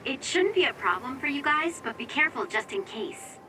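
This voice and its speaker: An actress playing a friendly, polite young mission operator in a video game, giving courteous, reassuring reports over comms. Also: female voice, high-pitched, lively intonation, fairly quick, distant mic, background noise. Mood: friendly, helpful. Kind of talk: English video game voice acting.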